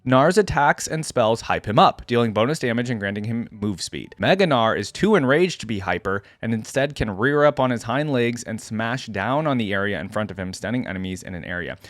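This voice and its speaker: A man's voice: medium pitch, lively intonation, brisk, few pauses, no room sound. Dry-sounding man giving casual game commentary. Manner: conversational, thinking aloud, reading aloud with asides. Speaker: YouTube reaction host.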